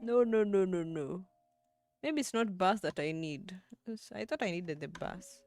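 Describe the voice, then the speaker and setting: A young woman in her twenties, live-streaming as she edits and chatting with viewers, thinking aloud with soft laughs. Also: lowish voice, expressive, measured pace, no room sound, faint music behind. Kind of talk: livestream, talking to chat while editing.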